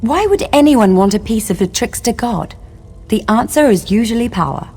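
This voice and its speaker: An actress playing a long-imprisoned elven wife in a fantasy game, speaking formally and solemnly, with bitterness and longing. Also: female voice, a little high, lively intonation, normal speed, mellow, breathy edge, soft background music. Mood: apologetic, measured. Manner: formal, archaic, solemn phrasing. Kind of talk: scripted fantasy game dialogue.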